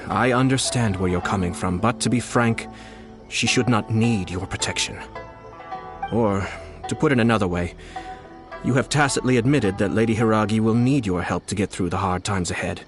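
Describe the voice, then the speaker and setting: A man acts as a shrewd, courteous young nobleman from a video game. His voice sits low and his speech is measured and formal. Faint music plays behind him. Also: natural voice.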